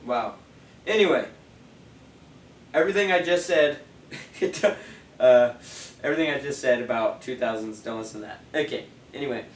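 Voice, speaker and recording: A man's voice: medium pitch, normal speed, long pauses, roomy sound, distant mic, a little hiss or hum. A man reviewing party speakers in a loose, rambling way, sniffly and a little nasal from a cold. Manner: rambling, loose, many fillers. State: stuffy nose, sniffly. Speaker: product reviewer. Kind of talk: casual product review.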